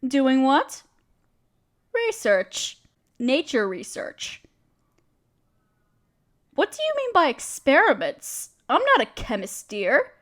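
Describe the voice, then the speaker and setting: A woman's voice: high voice, huge pitch swings, slow pace, pauses often, a bit bright, full character voice. A woman playing a friendly, genteel witch who chats kindly in quaint, dainty phrases, in an audio roleplay. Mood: gently teasing. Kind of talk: scripted audio roleplay speaking to the listener.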